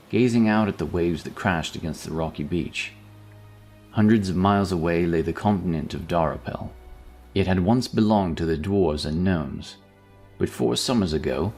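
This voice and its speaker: A man's voice: deep voice, darkish tone. A man reading scripted narration for a fantasy audio story. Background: background music.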